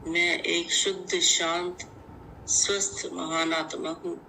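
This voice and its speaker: A woman leads a guided meditation in measured, deliberate, instructive short phrases. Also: fairly low pitch, little pitch movement, sharp tone, a little hiss or hum, poor audio.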